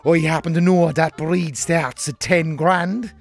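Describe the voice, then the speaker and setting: A man puts on a posh, pompous gent. The voice is high and affected, haughty and accusing, in a comedy sketch with light music behind. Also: fairly even intonation, unhurried, creaky. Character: pompous posh man in puffy jacket, suspicious.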